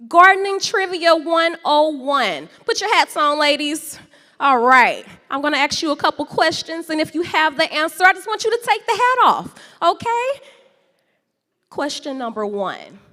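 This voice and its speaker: Loud, animated woman in an echoey hall. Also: sits high, normal speed. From St. Louis, USA. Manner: loud, animated, playful with the audience. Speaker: guest speaker. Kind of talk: lively public talk with audience games.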